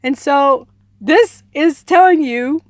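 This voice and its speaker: A woman reading a book aloud as a narrator, in a deliberate manner, with informal personal commentary of her own. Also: sits high, dry recording, clean studio sound. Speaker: audiobook reader adding own commentary.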